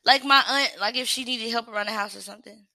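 A woman's voice: fairly high pitch, quick pace, sharp tone, dry recording. A young woman hosting a livestream, reading self-reflection questions aloud and answering them frankly in casual chat. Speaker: livestream host.

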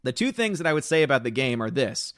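A man live-streaming, reading chat comments aloud and rambling in casual talk, slightly hoarse from losing his voice. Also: mid-range voice, big pitch swings, quick pace, a bit bright, dry recording, clean studio sound.

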